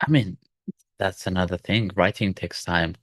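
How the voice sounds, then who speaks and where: A man with the flu hosting a casual video call, relaxed and given to laughing and asking thoughtful questions. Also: deep voice, normal speed, no room sound, clean studio sound. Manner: relaxed, laughs often, asks reflective questions. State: sick with flu. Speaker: host.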